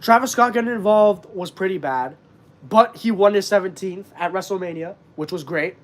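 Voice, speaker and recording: Young guy host, high pitched, congested voice, earnest rambling stream chat. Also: moderate pace. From Canada. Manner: earnest, rambling, many fillers. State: stuffed up. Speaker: wrestling channel host. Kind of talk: casual live-stream chat.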